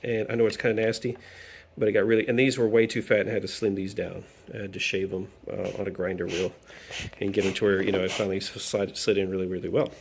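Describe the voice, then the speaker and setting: A man's voice: sits low, little pitch movement, fast talker, a little hiss or hum. A man hosting a casual hands-on repair walkthrough in a workshop, chatty and thinking aloud as he goes, with some playful repetition of words. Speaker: DIY video host. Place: workshop or garage, cold day.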